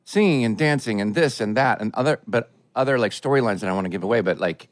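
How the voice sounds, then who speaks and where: A man's voice: medium pitch, quick pace, no room sound. A man co-hosting an interview, asking eager and enthusiastic questions. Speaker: interview host. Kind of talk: casual interview chat.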